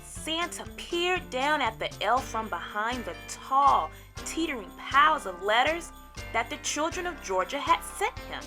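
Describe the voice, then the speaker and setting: Female children's storyteller: bright, lively intonation, deliberate read-aloud phrasing, soft music behind. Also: high voice. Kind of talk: animated storybook narration for young children.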